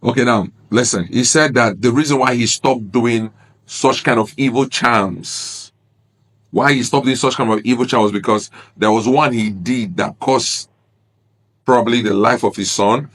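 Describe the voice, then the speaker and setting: A man's voice: medium pitch, normal speed, a bit bright. A man, an Igbo speaker, giving earnest, grieved commentary on a video clip and repeating his points. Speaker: reaction video host.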